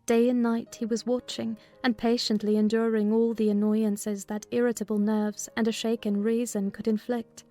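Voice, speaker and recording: A woman's voice: on the high side, little pitch movement, moderate pace, hardly any pauses, dark tone. A woman reading scripted audiobook narration of a classic novel.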